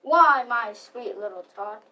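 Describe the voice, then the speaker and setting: Grumpy, gloating storybook Grinch played by a young girl, high voice, declaiming slowly into a distant mic. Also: lively intonation, highs cut off. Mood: falsely sweet. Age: child, about 11 to 12. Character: scheming grumpy male green Grinch, gleefully mean. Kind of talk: readers theater recital. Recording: distant mic in a live stream.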